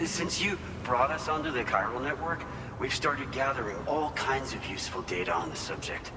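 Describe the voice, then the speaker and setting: A man's voice: lowish voice, close to an everyday voice. Echoey, earnest man acting as facility staffer. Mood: earnest, grateful. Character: earnest, grateful male research facility staffer. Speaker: facility staffer at a distribution hub. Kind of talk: scripted game cutscene dialogue. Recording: echoey hall, clear vehicle-like noise.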